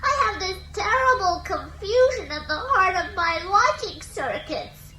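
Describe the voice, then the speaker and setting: A timid, eager-to-please female computer with a British accent from a sci-fi radio play. The voice is very high and swoops about at an unhurried pace. It sounds plaintive and oversensitive, with some room echo. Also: lively intonation, sharp tone, faint music behind, exaggerated voice. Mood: tearful, anxious. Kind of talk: British radio science fiction drama.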